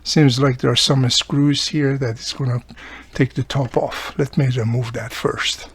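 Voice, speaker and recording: A man's voice: mid-range voice, fairly even intonation, a little slow, creaky, slightly rough. Chatty man thinking aloud through a tutorial. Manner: chatty, thinking aloud, often addressing the viewer. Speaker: host of a radio repair tutorial channel. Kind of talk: casual hands-on tutorial narration.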